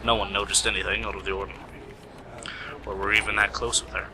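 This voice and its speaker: A man's voice: fairly low pitch, flat delivery, fast talker, lightly acted. A man playing a dutiful police detective in an amateur radio play, speaking in a plain, matter-of-fact way. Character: male police detective, dutiful sidekick reporting to lead. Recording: noisy amateur home recording.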